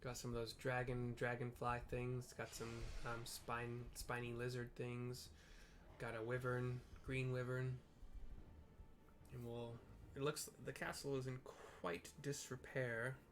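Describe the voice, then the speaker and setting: A man casually narrating gameplay and describing the scenes for blind viewers, in loose, halting bits. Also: mid-range voice, flat delivery, a little hiss or hum. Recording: background music playing under the voice. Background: music from a playlist.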